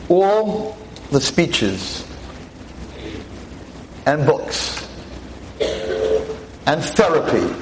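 A veteran teacher in his sixties lecturing an audience on a microphone, with a loud voice, emphatic and full of rhetorical questions. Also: mid-range voice, slight background noise, rough recording. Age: 60s. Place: talk in front of an audience. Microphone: handheld or stand mic.

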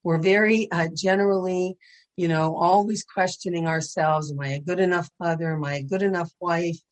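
A woman with a raspy voice giving a gentle, earnest spiritual class over a video call. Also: fairly low pitch, fairly even intonation, moderate pace. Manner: earnest and gentle, with frequent ums. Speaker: Torah educator and speaker.

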